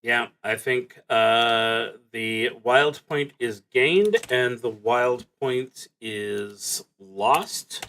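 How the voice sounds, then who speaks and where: A man narrating his solo tabletop roleplaying game, thinking aloud in a halting, musing way and trailing off mid-thought. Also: medium pitch, sharp tone.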